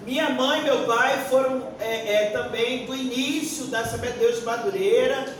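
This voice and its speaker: A Brazilian woman of sixty-one answering on a forum panel like a pastor, deliberate and emphatic, searching for words as she goes. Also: on the high side. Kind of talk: unscripted panel answer with preaching tone. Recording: echoey room, distant mic, faint noise.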